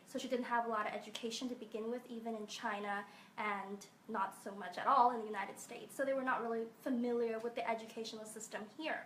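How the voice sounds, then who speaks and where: Higher-voiced young woman answering interview questions. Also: fairly bright tone, echoey room, a little hiss or hum. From Hong Kong. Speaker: guest.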